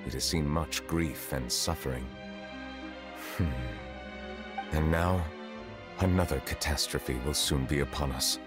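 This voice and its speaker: A grave, courtly male sovereign from a video game, with an extremely low voice. He speaks formally and with measured solemnity, over faint background music.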